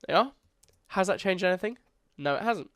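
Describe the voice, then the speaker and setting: A man's voice: sits high, animated, fairly quick, fairly bright tone, clean tone, dry recording, clean studio sound. A young man, congested, casually talking through a coding tutorial and correcting himself as he goes. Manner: casual, chatty, self-correcting. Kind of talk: screen-recorded coding tutorial.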